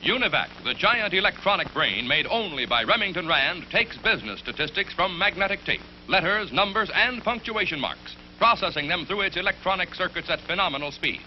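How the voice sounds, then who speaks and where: A man's voice: sits high, expressive, fairly quick, hardly any pauses. A man reading scripted narration for a vintage commercial as its announcer. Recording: dull vintage ad audio, faint hum.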